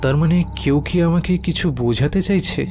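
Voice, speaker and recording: Guy doing scared but brave village boy, spooky cartoon, bit high pitched, muttering all dramatic, noisy track. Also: moderate pace, close to an everyday voice. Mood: frightened. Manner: dramatic, muttering anxiously to himself. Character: brave young village boy, frightened and troubled. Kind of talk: cartoon horror story acting.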